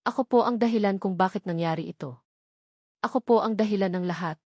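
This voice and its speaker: A woman's voice: mid-range voice, brisk, a little mellow, no echo, studio quality, lightly acted. A woman voicing a remorseful, determined man in a romance storybook narration, solemn and earnest. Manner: solemn, contrite, resolute.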